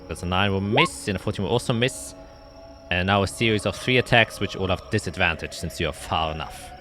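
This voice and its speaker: A man's voice: low voice, fairly quick, a bit bright, soft background music. A man narrating a tabletop roleplaying game as game master, describing scenes and calling out dice results.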